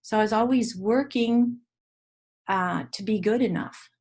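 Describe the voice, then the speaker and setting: Older woman, Dutch native speaker, earnest and reflective, mid-pitched voice, halting restarts. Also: a little mellow, husky. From Ontario, Canada. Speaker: guest educator. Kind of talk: interview.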